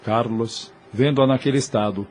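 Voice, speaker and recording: A man's voice: mid-range voice, moderate pace, a little mellow, a little hiss or hum. A man with a Brazilian accent giving dramatic, solemn, literary narration of an audio novel.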